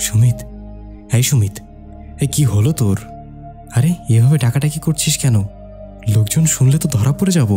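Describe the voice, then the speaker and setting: A man playing a bold, mischievous young man who narrates and acts in an animated horror tale. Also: mid-range voice, normal speed, pauses often, over music, natural voice. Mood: hushed urgency. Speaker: narrator and character. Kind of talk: scripted horror cartoon narration and dialogue.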